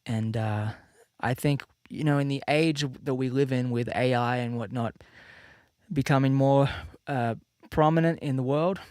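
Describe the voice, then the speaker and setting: A man's voice: medium pitch, a little slow, no echo, clean studio sound. A man in his thirties from Australia, with an accent between Australian and American, chatting casually and loosely to livestream viewers as a musician. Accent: mixed Australian-American. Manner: relaxed, rambling, many fillers and restarts. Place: home room with a slight added reverb.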